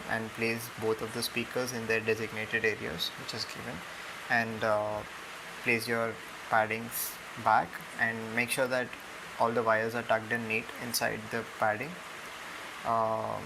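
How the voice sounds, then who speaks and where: A young Indian-accented man talks through a product in a casual, hesitant way, with lots of ums. His delivery is very even, with a creaky, fried edge to the voice. The recording is homemade, with clear steady hiss behind him. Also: on the low side, measured pace. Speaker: product reviewer. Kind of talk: casual unboxing and tutorial narration. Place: indoors on a room floor.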